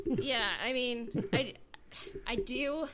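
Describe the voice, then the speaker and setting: A woman's voice: fairly high pitch, slow, background noise. A woman hosting a casual podcast, chatting informally and reading out listener comments. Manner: informal, conversational. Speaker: podcast host.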